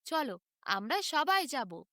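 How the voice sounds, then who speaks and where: A woman's voice: high-pitched, big pitch swings, takes it slowly, bright, forceful tone, no echo, studio quality, full character voice. A woman voicing a kind, brave young village girl in a storybook, earnest and confident. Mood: determined. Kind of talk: storybook character voice.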